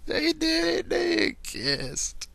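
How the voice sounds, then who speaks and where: A young man reading a story aloud at an unhurried, slow pace in a gravelly, creaky voice. He trips over words now and then and stops to react with comments and laughs, all in an easygoing, casual manner. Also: very high voice, wildly swooping pitch, sharp tone, no room sound. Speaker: fan fiction reader.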